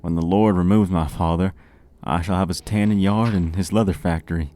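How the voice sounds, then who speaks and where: A man's voice: deep voice, fairly quick, warm tone, no room sound, voice pushed up, close to an everyday voice. A man voicing a pompous, self-righteous young zealot who talks in a preachy way.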